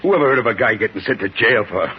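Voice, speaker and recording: An actor playing a tough, suspicious gangster boss, brusque and slangy, in 1950s radio crime drama style. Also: male voice, lowish voice, animated, rapid-fire, slightly rough. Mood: indignant. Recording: noisy, muffled 1950s radio recording.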